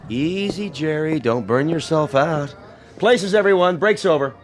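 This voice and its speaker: A man's voice: fairly high pitch, lively intonation, measured pace, soft background music. An actor playing a wry, impatient male television director in a radio drama, sardonic, coaxing and bossy. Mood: brisk, bossy.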